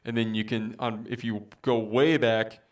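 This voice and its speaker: A man with a baritone voice chatting casually with viewers as a musician streamer, rambling with many fillers. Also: flat delivery, unhurried, distant mic. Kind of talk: casual livestream chat.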